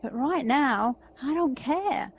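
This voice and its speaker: A woman's voice: sits high, lively intonation, slow, slight background noise, heavily acted. A woman playing a jealous, wounded and accusing sister in an audio play, dramatic and confrontational. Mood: bitter, accusing.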